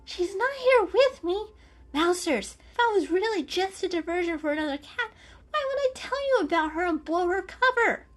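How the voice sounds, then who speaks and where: A woman's voice: very high voice, animated, normal speed, voice pushed up. A woman voicing a small, dainty female house cat with a plaintive, anxious and slightly fussy manner, in an animal storybook reading. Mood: whiny, indignant.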